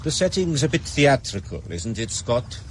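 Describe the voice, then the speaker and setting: A man's voice: mid-range voice, sing-song, moderate pace, a little hiss or hum, natural voice. A man playing a cool, menacing Soviet major in a radio drama. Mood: cool, mocking.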